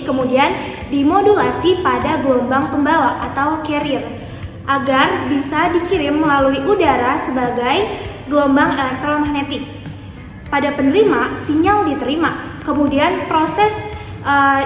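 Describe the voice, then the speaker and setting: A young student explains prepared material in a high female voice. Heavy echo and a long hall reverb surround every word. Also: a bit bright. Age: young adult. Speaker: student presenter. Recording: very echoey hall.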